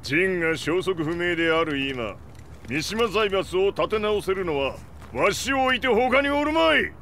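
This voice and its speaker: A man's voice: high voice, animated, fast, fairly bright tone. An actor playing a proud, domineering old male warlord who speaks in archaic, commanding Japanese, in a video game cutscene. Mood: commanding, triumphant. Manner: archaic, imperious old-man speech, commanding. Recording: noisy game scene ambience.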